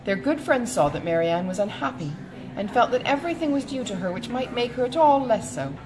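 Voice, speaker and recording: A woman reading a classic novel as an audiobook narrator, in a measured, expressive storytelling manner. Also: on the high side, poor audio.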